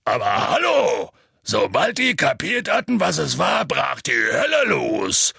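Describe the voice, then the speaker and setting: Guy doing a big gruff half-giant, super low and gravelly, husky, rambles on like a folksy storyteller. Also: animated, unhurried, pushed and bright, no echo, studio quality, full character voice. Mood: excited. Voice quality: deep gravelly rumble. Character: huge gruff good-natured male half-giant. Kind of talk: audiobook character voice.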